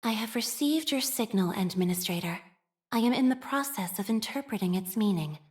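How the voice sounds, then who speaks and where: A woman voicing a devout, shy young priestess in a video game, speaking formally and with measured, prayer-like phrasing and a machine-minded reserve. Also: mid-range voice, animated, a little mellow, close to an everyday voice. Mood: solemn, reverent. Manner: formal, measured, liturgical phrasing with hesitant shyness. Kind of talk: scripted game character voice lines.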